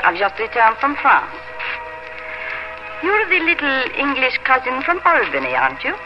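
A woman's voice: sits high, big pitch swings, moderate pace, muffled recording. An actress playing a poised young French fiancée in a 1950s radio drama, airy and polished, politely cutting toward her rival. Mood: cool condescension. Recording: band-limited 1950s radio with music.

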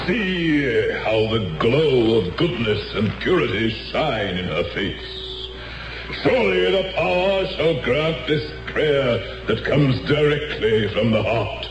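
Grandiose, slow, echoing faith healer. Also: male voice, mid-range voice, big pitch swings. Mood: solemn, exalted. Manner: grandiose, solemn, theatrical pronouncements. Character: charismatic male faith healer preaching to his followers. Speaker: cult preacher. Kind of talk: 1970s radio drama acting. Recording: echoey hall, music behind.

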